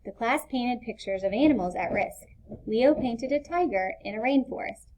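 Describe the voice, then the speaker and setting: A woman reads a children's storybook aloud. Her voice sits somewhat high, and she delivers scripted narration for young listeners. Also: expressive, normal speed, darkish tone, slight background noise, poor audio. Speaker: storybook reader.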